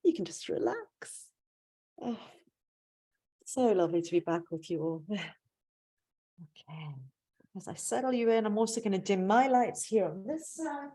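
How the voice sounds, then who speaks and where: A woman teaching yoga nidra, guiding a relaxation gently and without hurry, with long pauses between phrases. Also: medium pitch, expressive, roomy sound. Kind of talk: guided relaxation meditation.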